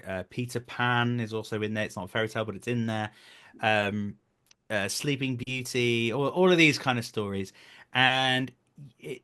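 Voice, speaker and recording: A man's voice: mid-range voice, fairly bright tone, clear, resonant tone. A British man in his thirties chatting casually as an interview guest, explaining things and telling stories in a talkative way. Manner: chatty, explanatory, breaks into brief acted quotes. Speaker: guest, voice actor and stage performer.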